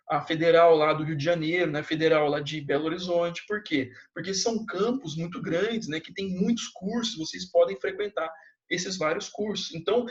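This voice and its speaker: High-voiced casual male history teacher. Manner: casual, slangy, with frequent tag questions. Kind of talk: recorded classroom lecture. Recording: dull lo-fi sound.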